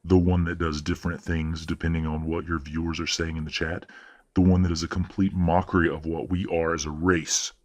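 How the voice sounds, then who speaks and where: Man playing a very deep, husky, condescending werewolf father. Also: flat delivery. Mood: cold contempt. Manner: measured, patronizing, coldly genteel. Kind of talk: audio roleplay drama acting.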